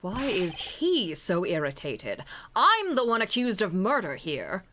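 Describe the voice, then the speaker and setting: Woman voicing a calm, courteous murder suspect. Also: mid-range voice, a little slow, exaggerated voice. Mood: calm, formal.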